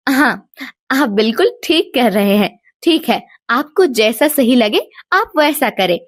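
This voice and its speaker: A woman's voice: fairly high pitch, expressive, unhurried, a bit bright, no echo, clean studio sound. A woman voicing a mild, supportive village wife in a storybook tale. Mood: agreeable.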